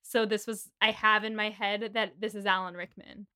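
A woman's voice: mid-range voice, flat delivery, normal speed, bright, forceful tone, clean tone. A woman co-hosting a casual book podcast, chatty and laughing often, swearing freely as she recaps chapters. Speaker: podcast co-host. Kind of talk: casual book-club chat.

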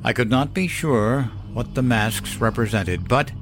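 A man giving measured, suspenseful first-person narration of a horror story. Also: mid-range voice, animated. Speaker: narrator of horror fiction podcast. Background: background music.

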